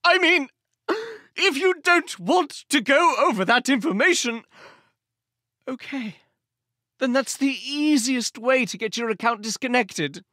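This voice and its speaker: A man playing a pushy, pleading call-centre agent as a mock-Shakespearean dramatic reading, theatrical and drawn-out. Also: very high voice, lively intonation, bright, forceful tone, no room sound, studio quality, exaggerated voice. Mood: wounded, coaxing. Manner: melodramatic, halting, drawn-out delivery.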